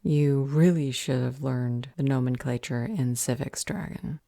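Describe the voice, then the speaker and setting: Lady doing an office clerk in a fantasy roleplay, low voice, matter-of-fact, officious, no room sound. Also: measured pace, vocal fry, dark tone, no echo, studio quality, heavily acted. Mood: condescending. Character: brisk, unflappable female magical government clerk. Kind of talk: audio roleplay acting.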